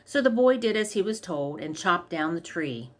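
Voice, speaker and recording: A woman narrates a children's storybook from a script. Her voice sits somewhat low as she reads the tale aloud. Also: lively intonation, moderate pace. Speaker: story reader.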